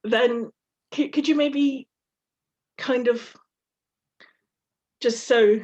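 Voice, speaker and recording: Husky-voiced woman interviewer. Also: fairly high pitch, fairly even intonation, darkish tone, muffled recording. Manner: hesitant, halting questions with many fillers. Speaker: interviewer, event host. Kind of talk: hosting an online talk.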